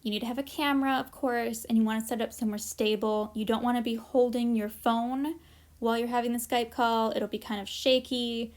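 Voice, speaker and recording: A woman's voice: fairly high pitch, steady tone, a little fast. A woman presenting an informal instructional workshop, explaining practical tips in a conversational way with casual asides.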